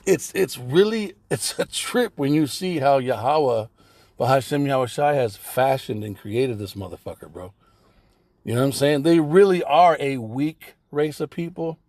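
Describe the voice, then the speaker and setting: A man's voice: medium pitch, vocal fry, darkish tone, no room sound. A man giving a blunt, profane religious commentary in a casual ranting style, swearing heavily.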